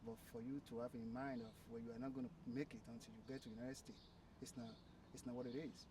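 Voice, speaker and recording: A man, telling his story as an interview guest, halting and repeating words. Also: mid-range voice, little pitch movement, warm tone. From Nigeria. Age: 30s. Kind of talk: casual conversation. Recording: distant mic with clear background noise.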